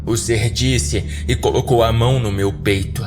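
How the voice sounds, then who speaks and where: A man with a Brazilian accent narrating a scripted first-person horror story in a dramatic, deliberate way. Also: mid-range voice, fairly even intonation. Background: background music.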